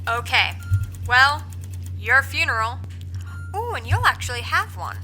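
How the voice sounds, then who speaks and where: A female villain commander for an audio comedy. Her voice is bright and forward, her menace casual and sardonic. Music plays underneath. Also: sits high, very animated, unhurried, exaggerated voice. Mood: breezy menace. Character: flippant female villain commander, breezily threatening. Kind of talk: audio comedy drama acting.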